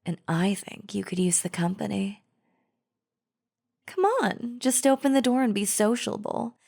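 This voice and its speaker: Creaky, slow-talking friendly zombie woman, acted out by a woman. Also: medium pitch, a little mellow. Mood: wheedling, pushy. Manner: casual, wheedling, drawling, falsely friendly. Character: chatty female zombie feigning friendliness, coaxing and sly. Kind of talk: scripted audio roleplay acting, addressing the listener.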